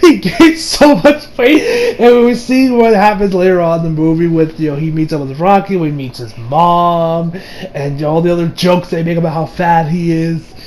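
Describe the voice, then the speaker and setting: A young adult man giving a casual, rambling movie review with excitable delivery and frequent swearing. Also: fairly high pitch, normal speed. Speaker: video reviewer. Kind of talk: casual movie review chat over gameplay.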